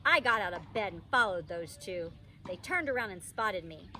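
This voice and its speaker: A woman teacher reading a children's storybook aloud in an expressive, childlike first-person narration. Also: mid-range voice, moderate pace. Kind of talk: animated storybook read-aloud. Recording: distant microphone, faint background noise.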